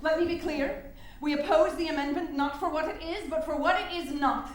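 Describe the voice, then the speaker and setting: An embattled nineteenth-century suffragist voiced by a woman speaks high, with pointed, formal emphasis. She is heard in a staged play reading, in a room with heavy echo. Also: brisk, close to an everyday voice. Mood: defiant, pointed. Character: earnest, sharp-tongued, embattled 19th-century suffragist newspaper proprietress. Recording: very echoey room.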